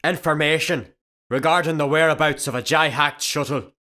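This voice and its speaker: An actor playing a blustering, menacing space pirate in a comedy radio play, pompous and threatening. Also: male voice, a little high, fairly even intonation, moderate pace, bright, forceful tone. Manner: threatening, pompous, garbles words. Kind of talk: sci-fi comedy radio acting.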